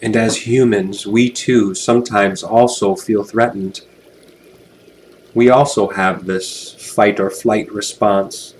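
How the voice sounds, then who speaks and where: Slow, soothing male hypnosis narrator. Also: fairly low pitch, steady tone, slight background noise, rough recording. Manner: soothing, unhurried, gentle delivery with long pauses. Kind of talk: guided hypnosis relaxation narration.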